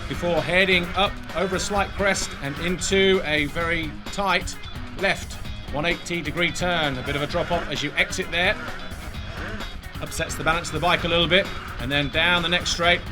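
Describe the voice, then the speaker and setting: Man, high voice, motocross commentator, brisk play-by-play, loud music bed behind. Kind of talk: race commentary voice-over.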